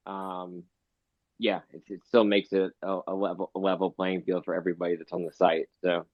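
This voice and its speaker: A man speaking casually and a little haltingly in a live discussion, on dull online audio. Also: sits low, flat delivery, no room sound.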